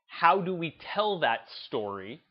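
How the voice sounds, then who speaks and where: A man hosting a gaming video essay, speaking in an emphatic, deliberate, unscripted way and repeating phrases to drive his points home. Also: a little high, sing-song, highs cut off. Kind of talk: opinionated commentary, unscripted talk to listeners.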